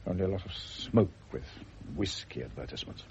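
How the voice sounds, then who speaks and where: An aging British doctor in an old radio drama. The voice is very low, measured and wryly philosophical. The sound is dull, with faint noise behind it. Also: male voice, animated, creaky. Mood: wry, musing. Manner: dry, measured, wry. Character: aging, worldly-wise English doctor, dry and philosophical. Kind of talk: mid-century radio drama acting.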